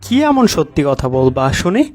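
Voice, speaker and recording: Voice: medium pitch, very animated, normal speed, darkish tone, a little hiss or hum, natural voice. A performer playing a teasing, wheedling older relative in a comic cartoon, bantering, correcting and making excuses. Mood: amused, patient.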